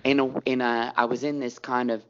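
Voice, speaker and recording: A man's voice: mid-range voice, little pitch movement, fairly quick, lo-fi sound, background noise. A middle-aged Australian man, a performer, talking reflectively in an interview and stretching words for emphasis.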